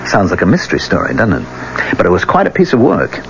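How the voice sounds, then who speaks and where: Low, expressive male accuser, polite but relentless, insinuating radio-drama delivery on dull vintage tape. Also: exaggerated voice. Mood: accusing. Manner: deliberate, insinuating, formal. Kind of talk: 1970s radio drama acting. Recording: dull, hissy 1970s radio recording.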